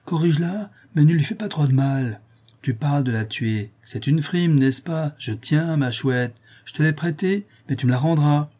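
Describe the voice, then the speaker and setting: A man's voice: mid-range voice, lively intonation, moderate pace, dark tone, husky, pitched above the performer's own voice. A man voicing a mocking, gleeful young street boy who taunts and jeers like a theatre heckler in a storybook reading. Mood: bargaining.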